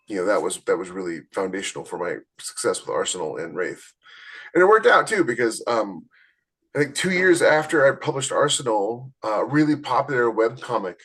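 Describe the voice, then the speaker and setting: A man with a slightly low voice speaks as a podcast co-host and author. His delivery is casual and conversational, built on personal anecdote, and he tends to act out the people he quotes. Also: a bit bright, slightly rough, low-quality recording.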